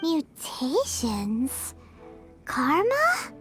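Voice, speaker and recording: Game fairy sidekick: childlike, squeaky, very animated melody, unhurried, exclamatory and earnest. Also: female voice, music in the background, full character voice. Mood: curious. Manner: third-person self-reference, exclamatory, earnest. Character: small excitable childlike floating fairy companion girl. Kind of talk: video game voice acting.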